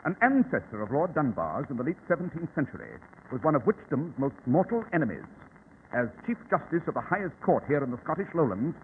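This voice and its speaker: A keen detective in vintage radio drama style. His voice is fairly high and swoops with theatrical, incisive precision. The recording is tinny, with faint noise behind it. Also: big pitch swings, brisk. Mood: grave, intrigued. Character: brisk, keen, commanding male gentleman detective. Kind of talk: 1940s radio drama acting.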